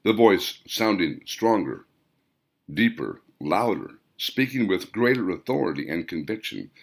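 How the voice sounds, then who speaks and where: A man reading a story aloud as dramatic, theatrical audiobook narration, with deliberate delivery. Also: deep voice, sing-song, creaky.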